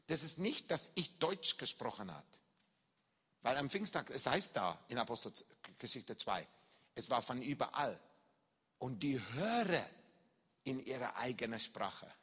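A man preaching and telling a story from his own life, acting out shouts and reactions, speaking German with non-native grammar. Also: medium pitch, a little slow, long pauses, slightly rough, in a large hall. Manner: animated, acts out shouts and reactions. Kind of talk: sermon testimony, storytelling.

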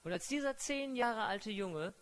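High-voiced male sermon interpreter. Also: expressive, measured pace, a bit bright. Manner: fluent paraphrasing with frequent fillers and self-corrections.

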